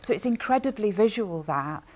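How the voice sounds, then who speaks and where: A woman's voice: medium pitch, lively intonation, normal speed, darkish tone. A woman radio drama producer giving conversational, emphatic advice in an interview.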